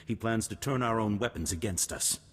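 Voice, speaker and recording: An actor playing a noble, resolute duke in a video game, husky, formal and stately in delivery. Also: male voice, fairly low pitch, brisk, a bit bright. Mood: grave, determined. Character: noble, resolute older duke, solemn war leader. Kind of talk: cinematic video game voice acting. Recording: long hall reverb, music behind.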